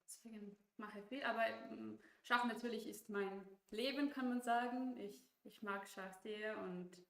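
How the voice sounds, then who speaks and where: A woman's voice: mid-range voice, fairly even intonation, normal speed, creaky. Woman, hesitant, in an echoey room. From Ukraine. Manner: hesitant, many fillers and restarts, laughs often. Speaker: guest co-commentator. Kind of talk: casual chat on a chess stream.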